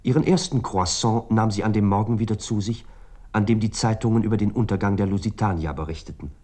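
An actor playing a reflective, ironic literary narrator in a radio play, speaking in measured, articulate, long sentences. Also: male voice, lowish voice, warm tone, natural voice. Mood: measured, gently ironic.

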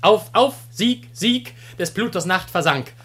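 An actor playing a cheeky, boastful slave in a classical comedy radio drama, telling tales with exuberant theatrical relish. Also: male voice, sits high, expressive, a little slow, a bit bright, husky, heavily acted. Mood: jubilant, cheeky.

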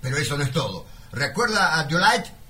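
An impassioned champion of the workers in a comic radio play. His high voice swings widely as he declaims and pleads in melodramatic indignation. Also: very animated, fairly quick, bright, forceful tone. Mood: indignant. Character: impassioned, earnest male workers' delegate, indignant champion of miners.

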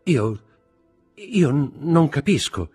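A man's voice: fairly low pitch, lively intonation, slow, darkish tone, slightly rough, faint music behind, full character voice. A man voicing a frightened young wife in an audiobook drama, stammering and begging in gasped, pleading exclamations.

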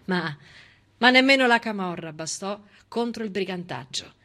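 A woman's voice: medium pitch, animated, normal speed, bright, forceful tone. A middle-aged woman performing a theatrical civic monologue, telling history in an emphatic, dramatic and ironic manner. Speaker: stage actress and storyteller.

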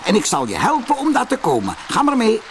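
A man's voice: extremely high pitch, huge pitch swings, brisk, slight background noise, voice pushed up, exaggerated voice. A man voicing a helpful, magical talking cat that gives instructions in a storybook fairy tale. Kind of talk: storybook character voice.

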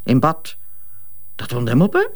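A blunt, worn-out Flemish wife. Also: female voice, extremely low pitch, wildly swooping pitch, measured pace, exaggerated voice. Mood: sardonic, frank. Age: middle-aged. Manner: frank, sardonic, unabashed. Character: blunt, cynical, worn-out betrayed wife, middle-aged. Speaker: actor. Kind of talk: audio drama dialogue.

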